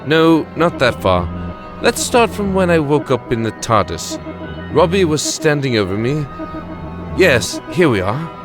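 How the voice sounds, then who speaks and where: A man's voice: fairly high pitch, sing-song, unhurried, music in the background. Man playing a composed, wry lord of time. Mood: wry command. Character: composed, wry male time-travelling lord recalling his past. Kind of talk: audio drama acting and narration.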